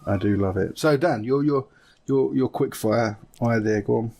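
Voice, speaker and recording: A man's voice: medium pitch, moderate pace, gravelly, warm tone. A man chatting casually and enthusiastically as a podcast co-host, talking in a loose, conversational way. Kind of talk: casual chat about video game films.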